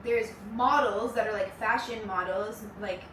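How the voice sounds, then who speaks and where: A woman's voice: mid-range voice, fairly even intonation, a little slow. A woman in her forties from Canada, hosting a podcast in a casual, chatty way and steering the conversation. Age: 40s. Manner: chatty, friendly, leads the talk. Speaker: podcast host. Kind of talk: casual chat. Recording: echoey room, mic at a distance, noisy.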